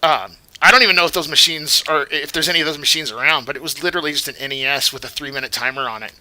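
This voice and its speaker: A male vlogger with a very bright, sharp voice rambles through his commentary. His tone is indignant and moralizing, and his voice sits a little high. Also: very fast, poor audio. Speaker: vlogger host. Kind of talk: commentary vlog.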